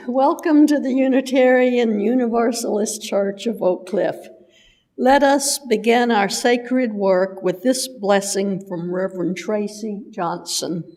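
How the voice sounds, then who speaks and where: Slow-speaking woman in a reverberant hall. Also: medium pitch, darkish tone. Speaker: worship leader. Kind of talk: ceremonial reading of a blessing. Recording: long reverberant hall sound.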